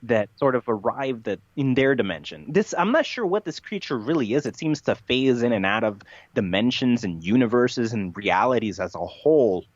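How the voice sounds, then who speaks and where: A man's voice: mid-range voice, sing-song, moderate pace, hardly any pauses, no room sound, dull audio. A man being interviewed in a casual conversation, telling things in a rambling, matter-of-fact way. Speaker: podcast guest, interviewee.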